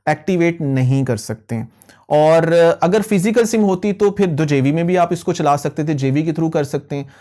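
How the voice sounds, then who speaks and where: A man's voice: fairly high pitch, dark tone. A man hosting a question-and-answer tech video, explaining conversationally to viewers and mixing in English phrases. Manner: mixes English phrases into speech. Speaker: host of a tech Q&A channel.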